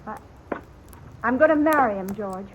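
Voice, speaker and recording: Woman acting young frontier woman, American accent, high-pitched, halting, anxious, determined, radio western, faintly noisy old recording. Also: animated, lightly acted. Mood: nervous, resolute.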